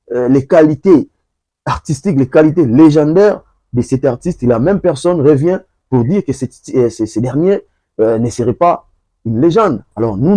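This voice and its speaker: A man from Congo giving emphatic opinion commentary, repeating himself and pausing often with hesitations. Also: medium pitch, mellow, no echo. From Congo (DRC). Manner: emphatic, repetitive, hesitant with many fillers.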